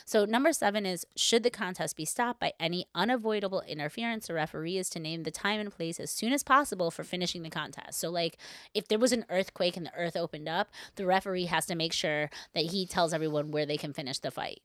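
A woman's voice: fairly low pitch, quick pace, a bit bright, no echo, studio quality. A woman co-hosting a podcast, telling a story in casual, informal talk with lots of "like" and playful asides. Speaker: podcast co-host.

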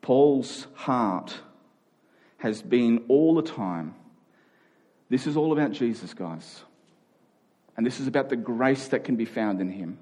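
Man, pastor, medium pitch, sermon delivery, earnest, conversational, informal asides to listeners. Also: lively intonation, takes it slowly, long pauses, mellow. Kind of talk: sermon to a congregation, with prayer.

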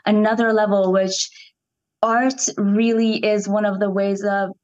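A woman's voice: mid-range voice, flat delivery, unhurried, a little mellow, lo-fi sound. A young woman from Iran, raised in Canada, speaking as an actress in an interview, thoughtful and enthusiastic. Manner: enthusiastic, thoughtful, searching for words. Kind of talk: reflective interview conversation.

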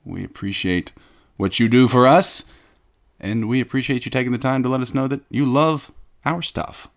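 A man's voice: medium pitch, moderate pace. A man hosting a comedic podcast, reading fan mail in a pompous, self-important, mock-indignant way. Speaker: podcast host. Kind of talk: comedic scripted podcast banter.

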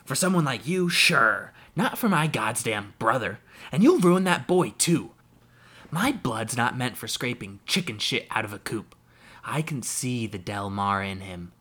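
A man's voice: fairly low pitch, animated, a little slow, full character voice. A man voicing a bitter, drunken uncle who rants and snarls at his family. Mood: ranting. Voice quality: loud, snarling, rising to shouts. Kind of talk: audiobook character voice.

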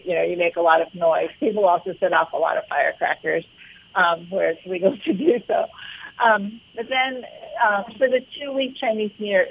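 A woman's voice: fairly low pitch, gravelly, slight background noise. A woman speaking as an arts leader in a radio interview, explaining things in a conversational way. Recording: narrow phone-like radio sound.

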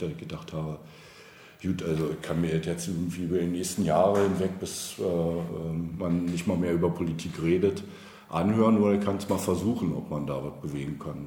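Man, middle-aged, very low pitch, hesitant conversational delivery, reflective, roomy sound, faint noise. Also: dark tone. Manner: hesitant, halting, searching for words. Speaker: local politician, interviewee. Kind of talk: reflective conversational interview answers. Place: indoor room, face-to-face over coffee. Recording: echoey room with faint background noise.

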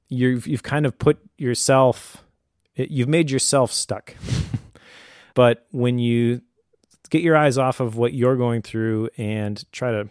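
A man's voice: medium pitch, darkish tone, clean tone, no echo, studio quality. A man co-hosting an advice podcast, talking conversationally in an earnest, explanatory way. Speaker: podcast co-host.

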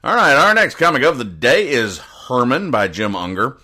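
A man hosting a casual solo podcast, explaining things in a rambling, halting, deadpan way. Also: mid-range voice. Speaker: podcast host. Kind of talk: casual solo commentary, explaining comic strips.

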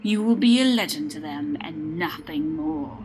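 A woman voicing a wrathful, horned and winged ancient male god who speaks slowly and commandingly, with music underneath. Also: low-pitched, animated, darkish tone, slight background noise.

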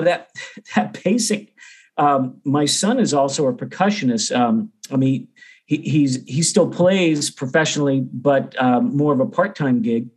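A middle-aged American man talking casually and conversationally as an interview guest about his own experience. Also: on the high side. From Boston area, United States. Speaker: percussion teacher, interview guest.

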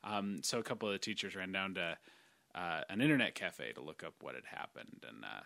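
A man's voice: low voice, lively intonation, a little fast, creaky, bright, forceful tone, no echo. An American man co-hosting a podcast, casually telling stories with lots of "like". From United States. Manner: storytelling, lots of "like". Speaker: podcast co-host. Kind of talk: casual chat.

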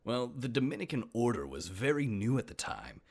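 A man hosting a casual podcast, hoarse today, chatty and self-deprecating with playful asides. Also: medium pitch, very animated, brisk, darkish tone. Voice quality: gravelly. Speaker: podcast host. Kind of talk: casual solo podcast about saints.

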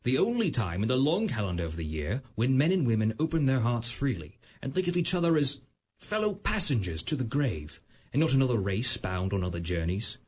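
An earnest, cheerful young nephew pleads in a very breathy voice. His pitch leaps high and low with feeling. A radio play where warmth keeps pushing through. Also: very animated, fairly quick.

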